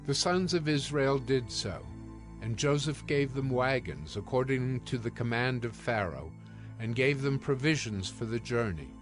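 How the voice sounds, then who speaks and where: A man with a medium-pitched voice narrates scripture from a script. His delivery is measured and storylike, with soft music underneath. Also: animated. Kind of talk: scripted dramatized Bible narration. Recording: music behind the voice.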